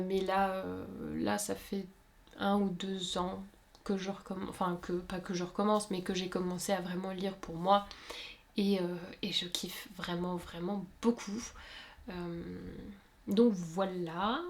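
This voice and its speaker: A woman's voice: mid-range voice, steady tone, a little slow. Chatty young woman. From Luxembourg. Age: 20s. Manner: chatty and rambling, many fillers and self-corrections. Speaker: YouTuber answering viewer questions. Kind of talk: casual chat.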